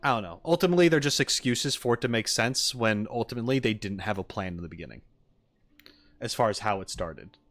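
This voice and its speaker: A man's voice: on the low side, lively intonation, fairly quick, a bit bright, dry recording, faint music behind. A man doing casual livestream commentary as he reacts to a game, opinionated and emphatic, in an argumentative way. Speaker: streamer reacting to game voice lines.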